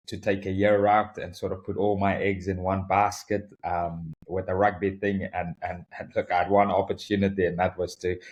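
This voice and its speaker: A man's voice: sits low, a little mellow. A man from South Africa with a South African accent, a rugby coach talking reflectively as a podcast guest, often repeating words and laughing. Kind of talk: podcast interview.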